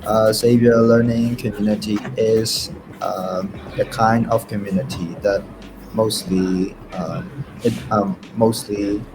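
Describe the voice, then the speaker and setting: A young male student from Myanmar on a podcast, answering haltingly and searching for words. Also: lowish voice, fairly even intonation, background noise. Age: young adult. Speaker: first-year student.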